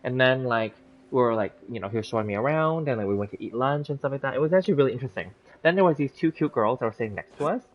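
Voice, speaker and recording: A man's voice: mid-range voice, expressive, racing. A man in his thirties chatting casually with stream viewers, rambling through a story with lots of fillers and restarts, laughing easily. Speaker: gaming streamer. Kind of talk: casual livestream chat with viewers. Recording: dull lo-fi stream audio.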